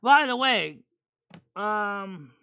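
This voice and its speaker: A man hosting a casual chat stream about tarot cards, talking in a rambling, halting way with many fillers and restarts. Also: sits high, expressive, sharp tone, clear, resonant tone, no room sound. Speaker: host.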